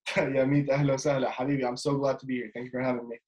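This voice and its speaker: A man's voice: mid-range voice, fast. Guest, man in his twenties, easygoing and rambling, recorded on a webcam at home. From Jordan. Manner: relaxed, rambling with many fillers and restarts. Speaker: guest, basketball player. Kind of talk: casual interview chat. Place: bedroom at home, indoors. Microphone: webcam video call.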